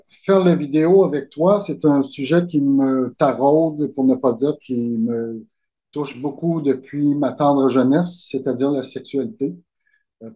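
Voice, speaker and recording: A man with a Québécois accent talks as an interview guest. He speaks slowly and deliberately, weighing each phrase. His voice has a mellow, dark tone at an ordinary male pitch. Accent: Québécois French. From Quebec, Canada. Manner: slow, measured, deliberate delivery. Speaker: guest author. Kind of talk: conversational interview.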